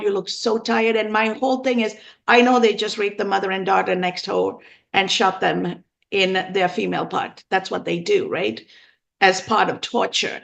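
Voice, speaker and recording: A woman in her fifties from Sri Lanka, telling her personal story as an interview guest in an earnest, emphatic, deliberate way. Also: mid-range voice, gravelly. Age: 50s. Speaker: podcast guest.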